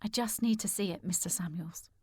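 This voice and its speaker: A woman's voice: fairly low pitch, brisk, warm tone, breathy edge. An actress playing a determined, genteel lady with an English accent in a period audio drama, speaking formally and with command. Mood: quietly resolute.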